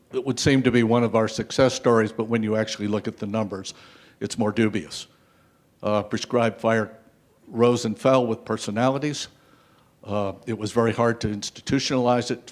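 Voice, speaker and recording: An older man speaks at a conference panel through a handheld microphone. His delivery is a wry, lecturing one, full of historical asides and filled pauses. His pitch sits in a middle range for a man. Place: conference stage, seated. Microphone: handheld mic.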